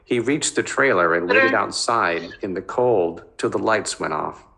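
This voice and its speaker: Bright, forceful male narrator, lowish voice. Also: measured pace, vocal fry. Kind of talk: dramatic scripted fiction narration.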